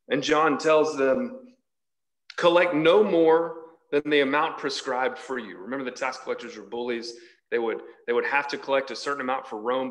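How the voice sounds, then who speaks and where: Male preacher, forties, pitched a little high, emphatic scripture commentary with repetition for stress. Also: a bit bright. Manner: emphatic, repeats phrases for stress. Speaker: preacher leading a Bible study. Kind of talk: sermon with scripture reading and commentary. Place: large church hall, indoors.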